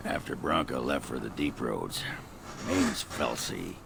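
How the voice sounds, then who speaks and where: A man playing a gruff, lewd, boozy middle-aged dwarf warrior in a fantasy video game, with a deep, gravelly voice and a blunt, rambling delivery. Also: a little slow, noisy background, full character voice.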